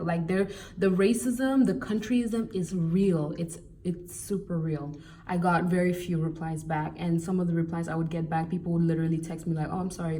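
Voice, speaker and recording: A woman's voice: on the low side, far from the mic, a little hiss or hum. Chatty young woman from Cameroon vlogging. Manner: chatty, animated, exasperated asides. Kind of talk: casual advice vlog.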